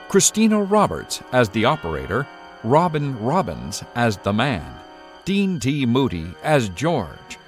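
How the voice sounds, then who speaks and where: A man reading scripted closing credits as a radio announcer, in a formal, measured old-time delivery. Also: mid-range voice.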